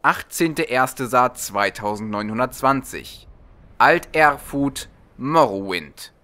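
A man reads fantasy fiction as an audiobook narrator. His voice is bright and forceful at a medium pitch, and his dramatic scripted delivery swings widely in intonation. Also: expressive, slow.

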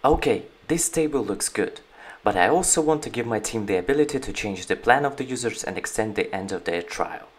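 Man narrating a software walkthrough. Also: on the low side, fairly quick. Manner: steady, explanatory walkthrough. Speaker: company founder presenting a product. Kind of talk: screen-recorded software tutorial. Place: voice-over on a screen recording.

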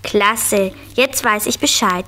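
A woman's voice: mid-range voice, slow pace, bright, forceful tone. A woman playing a curious, bossy four-year-old girl in a 1970s German children's radio play. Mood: pleased, determined. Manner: inquisitive, insistent.

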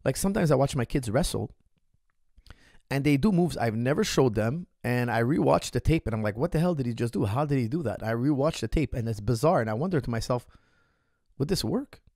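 A man in his thirties, a martial arts coach, answering a listener's question on a podcast in an animated, emphatic way, speaking into a studio mic on a boom arm in a small room. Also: fairly quick, dry recording.

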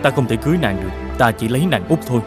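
A man's voice: mid-range voice, lively intonation, racing, music in the background, close to an everyday voice. A man voicing a noble, earnest young prince in a fairy-tale cartoon. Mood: courteous, firm.

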